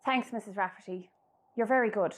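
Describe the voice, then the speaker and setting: An actress playing a weary, patient grown-up Irish daughter in a radio play, with a polite, gentle manner. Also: female voice, mid-range voice, a little fast, lightly acted. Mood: strained politeness.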